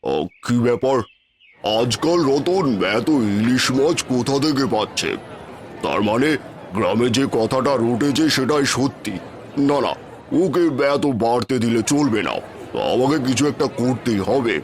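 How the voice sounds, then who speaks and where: A man's voice: medium pitch, measured pace, a little hiss or hum, close to an everyday voice. A man voicing a jealous village schemer in a cartoon fairy tale, plotting as he talks to himself. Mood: scheming. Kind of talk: cartoon storybook acting.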